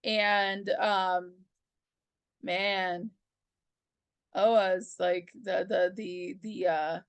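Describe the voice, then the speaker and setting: Unhurried woman retelling comics casually. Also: mid-range voice, fairly even intonation, smooth, no echo. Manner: slangy and informal, acts out characters' dialogue in own voice. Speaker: host of a comics review show. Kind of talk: casual, chatty retelling of a comic book story.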